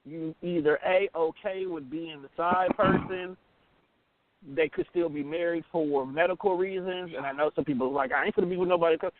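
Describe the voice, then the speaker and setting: A man's voice: fairly high pitch, normal speed, no echo. An American man co-hosting a radio talk show, explaining his opinions in a casual, emphatic, colloquial way. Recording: narrow phone-like line, dry.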